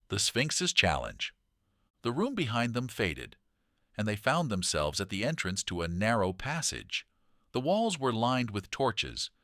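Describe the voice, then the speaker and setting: Deep-voiced, animated male storyteller. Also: no room sound, clean studio sound. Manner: dramatic, animated delivery. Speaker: children's story narrator. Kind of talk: expressive scripted read-aloud storytelling.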